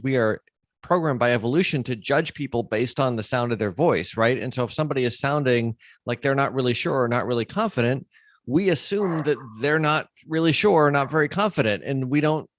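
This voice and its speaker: A man's voice: medium pitch, lively intonation, a little fast, dry recording. A male podcast host with a mid-range voice, recorded dry with no room sound. He interviews in a conversational way, talkative and working through his thoughts aloud.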